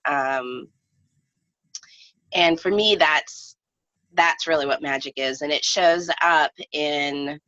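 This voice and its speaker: A woman with a low-pitched voice and a bright, forward edge talks reflectively as a guest. She is hesitant and thoughtful, searching for her words. The sound is dry and a bit dull. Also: slow, long pauses. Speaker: interview guest. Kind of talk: casual reflective conversation. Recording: slightly dull, echoey room audio.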